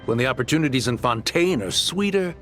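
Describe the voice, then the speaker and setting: A man's voice: mid-range voice, expressive, a little fast, fairly bright tone, faint music behind, close to an everyday voice. A man playing a smug, greedy swindler turned socialite in a video game, with a taunting, theatrical delivery.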